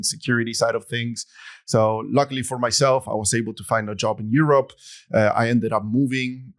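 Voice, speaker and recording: A man, a guest in a podcast interview who speaks in a relaxed, rambling way full of fillers. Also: medium pitch. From Argentina. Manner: relaxed, rambling, many "you know" fillers. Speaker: guest, security executive. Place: studio.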